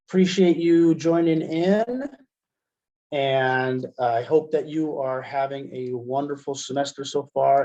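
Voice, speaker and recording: Muffled, mellow American man teaching software. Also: mid-range voice. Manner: explanatory, step-by-step. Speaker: assistive technology trainer. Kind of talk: casual webinar software demonstration. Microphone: headset.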